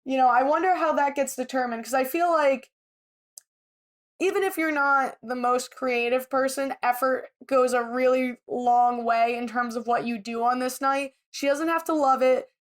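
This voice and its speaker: High-voiced chatty woman. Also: fairly even intonation, normal speed. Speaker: podcast co-host. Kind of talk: casual chat, reality TV recap.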